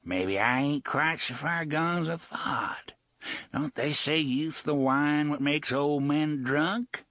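Un hombre que interpreta a un viejo pirata lascivo y amenazante, que canturrea con jerga anticuada. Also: male voice, a little high, slow, fairly bright tone, no echo, pitched above the performer's own voice. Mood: menacing, crooning. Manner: crooning, wheedling, with old-fashioned slang. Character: leering old male pirate, menacing and sly.

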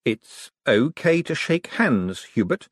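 Man playing a smooth-talking rogue banker, persuasive, swooping delivery, husky. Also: medium pitch, big pitch swings, moderate pace, no echo, clean studio sound, voice pushed up. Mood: glib. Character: glib, fast-thinking male con man turned banker.

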